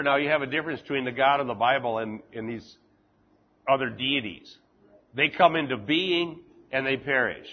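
A man teaching an informal Bible class, chatting with the group and reading scripture slowly and deliberately. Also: medium pitch, dull audio. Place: classroom with fans running.

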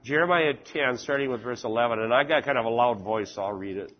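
A man teaching an informal Bible class, chatting with the group and reading scripture slowly and deliberately. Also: medium pitch, dull audio. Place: classroom with fans running.

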